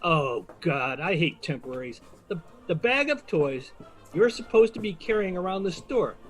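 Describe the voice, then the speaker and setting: A man's voice: high voice, sing-song, moderate pace, fairly bright tone, soft background music. A man playing an exasperated, officious department store manager who scolds staff in an audio drama.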